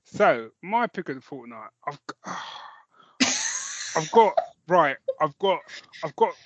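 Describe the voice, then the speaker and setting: A man's voice: fairly high pitch, big pitch swings, slow pace, fairly bright tone, slight background noise. A man co-hosting a podcast in casual chat, jokey and self-mocking, with some swearing. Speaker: podcast co-host. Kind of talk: casual chat, recommending audio dramas.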